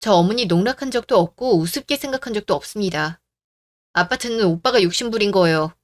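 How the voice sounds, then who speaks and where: A woman voicing a calm, firm young woman who argues in a reasoned way in a story radio drama. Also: medium pitch, brisk, no room sound, studio quality, natural voice.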